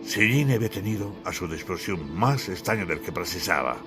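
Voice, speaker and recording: Low-pitched man narrating solemnly over music. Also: expressive, fairly quick. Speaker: author narrating his own audiobook. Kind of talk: scripted audiobook narration.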